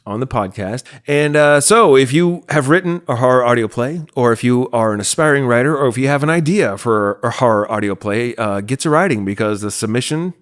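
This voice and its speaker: A man's voice: mid-range voice, lively intonation, fairly quick, hardly any pauses. An American man chatting casually as he hosts and introduces a podcast. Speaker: podcast host and producer.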